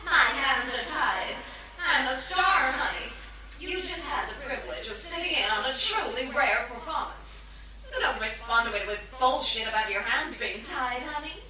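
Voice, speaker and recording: An actress playing a vain, sugary would-be star with a menacing streak in a sci-fi audio drama. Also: female voice, sits high, sharp tone, full character voice, rough recording. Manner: sugary, self-dramatising, honey-calling.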